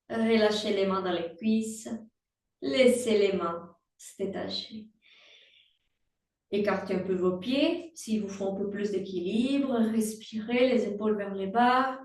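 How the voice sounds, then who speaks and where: A young woman guiding a prenatal yoga class in slow, calm cues with breath prompts, recorded from a laptop mic across the room. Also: medium pitch. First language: Portuguese. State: pregnant. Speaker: yoga instructor. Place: room at home, instructing from a mat. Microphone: laptop mic at a distance. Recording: roomy, from far off the mic.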